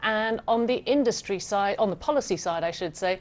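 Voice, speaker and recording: A woman presenting a television business programme in a clear, measured, emphatic broadcast style. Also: mid-range voice. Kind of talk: broadcast presenting, scripted narration and panel introductions.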